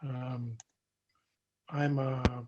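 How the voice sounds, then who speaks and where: A man's voice: mid-range voice, little pitch movement, highs cut off. A man from Romania speaking on a video call, introducing himself hesitantly with frequent fillers. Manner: hesitant, pauses often with fillers. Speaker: webinar participant. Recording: video call with background noise.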